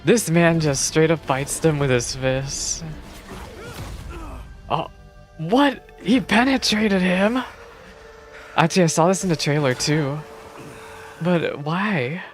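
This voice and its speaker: Casting: mid-pitched game streamer, unhurried, exclamatory casual reactions, game music behind. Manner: expressive, exclamatory. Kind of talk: casual live gaming commentary. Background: video game music.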